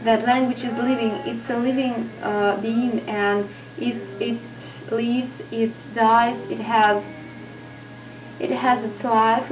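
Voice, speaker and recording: A woman with a Russian accent, a native Russian speaker, teaching in a casual vlog lesson, hesitant and searching for words as she talks. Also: on the high side. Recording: tinny lo-fi audio with music behind.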